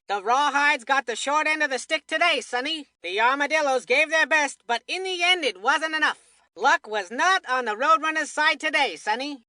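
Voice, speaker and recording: A woman voicing a peppy, excitable kid sports commentator in a cartoon baseball game. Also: high-pitched, dry recording. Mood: sympathetic. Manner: peppy, exclamatory. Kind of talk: scripted play-by-play commentary.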